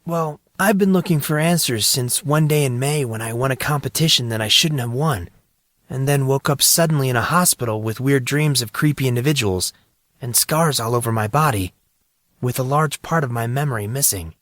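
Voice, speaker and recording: A man's voice: mid-range voice, moderate pace, a bit bright, no room sound, studio quality, lightly acted. A man voicing an awed, uneasy teenage boy with a hesitant, questioning manner in an audiobook. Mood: earnest confession. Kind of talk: audiobook character dialogue.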